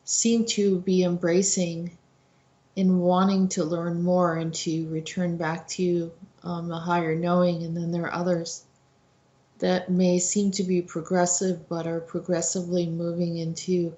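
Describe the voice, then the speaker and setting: A woman host giving informal commentary in a halting way, searching for words, on a slightly dull recording. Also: on the low side, little pitch movement, dark tone.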